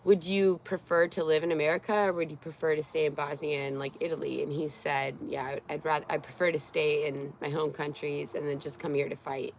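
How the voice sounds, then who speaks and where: Raspy-voiced woman chatting casually. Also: deep voice, level tone, brisk, few pauses, a little hiss or hum, poor audio. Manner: rambling, many fillers and restarts. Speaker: vlog host. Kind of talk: casual chat.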